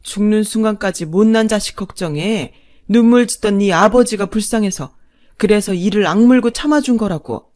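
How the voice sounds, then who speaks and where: A woman's voice: medium pitch, normal speed, a little mellow, close to an everyday voice. A woman voicing a long-suffering older wife and mother, fierce, defiant and coarse-tongued, in a dramatised family story. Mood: bitter fury. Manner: blunt, biting, coarse-tongued.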